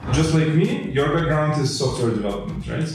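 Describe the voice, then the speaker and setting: A man's voice: on the high side, expressive, brisk. Male interviewer in an echoey room. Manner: hesitant questions with fillers. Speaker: interviewer, series host. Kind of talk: casual interview. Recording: echoey room, far from the mic, music behind.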